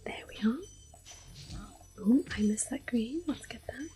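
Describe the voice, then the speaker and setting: A woman hosting a relaxing game playthrough, talking mostly in a gentle, unhurried whisper with small exclamations here and there. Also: on the high side, soft background music. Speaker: gaming ASMR host. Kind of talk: soft whispered game commentary.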